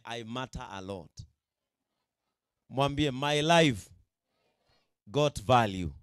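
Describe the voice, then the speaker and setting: Male Kenyan pastor, middle-aged, Kikuyu speaker. High-pitched, emphatic sermon delivery into a close handheld mic, dry sound. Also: clean tone, no echo. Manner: emphatic, repetitive, checks in with the audience. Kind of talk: sermon to a live gathering. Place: outdoor tented event. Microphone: handheld microphone.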